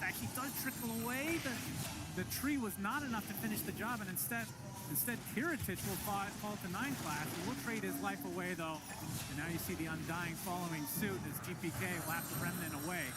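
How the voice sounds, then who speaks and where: A man with a notably high voice calls the action of a video game match, quick and excitable. Loud game music pounds underneath the broadcast. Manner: quick, excitable play calling. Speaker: play-by-play caster. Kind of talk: esports play-by-play commentary.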